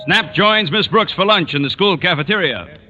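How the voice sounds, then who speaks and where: A man's voice: sits high, animated, moderate pace, loud music behind the voice. A man announcing scene changes in the scripted narration style of 1940s radio. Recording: dull 1940s radio recording.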